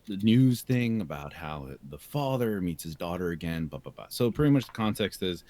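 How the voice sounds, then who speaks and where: A man, co-hosting a casual podcast, telling a rambling story full of "like" fillers and quick laughs. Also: sits low. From United States. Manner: animated, rambling, laughs often, many "like" fillers. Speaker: podcast co-host.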